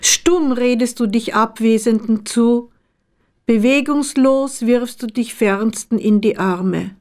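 A woman reading her own poems in a measured, deliberate way with pauses between phrases. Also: fairly high pitch, a little mellow. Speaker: poet, author reading own work. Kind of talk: literary poetry reading.

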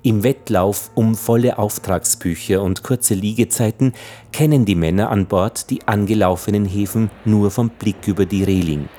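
A man's voice: lowish voice, moderate pace, warm tone. A man delivering sober, factual scripted narration in a documentary style for a radio play.

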